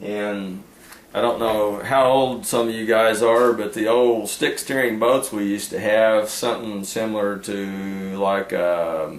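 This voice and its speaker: A man's voice: lowish voice, steady tone, unhurried. A man telling fishing stories in a casual, rambling, folksy way, often trailing into "anyway" and "uh". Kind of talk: casual storytelling. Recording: echoey room with faint ambience.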